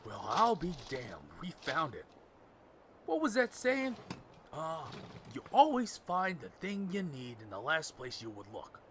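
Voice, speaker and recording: A man's voice: fairly high pitch, wildly swooping pitch, unhurried, fairly bright tone, slight background noise, full character voice. An actor playing a defiant male outlaw on the run in an audio drama, sardonic and wisecracking. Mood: wry musing.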